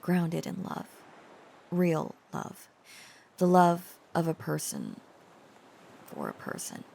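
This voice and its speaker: Woman acting as slow, tender dragon-blooded girlfriend. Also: on the low side, huge pitch swings, a little mellow, exaggerated voice. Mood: earnest, confessional. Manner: halting, earnest, breathy whispering at tender moments. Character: tender, protective dragon-blooded girlfriend confessing devoted love. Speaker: voice actor. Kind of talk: intimate romantic audio roleplay.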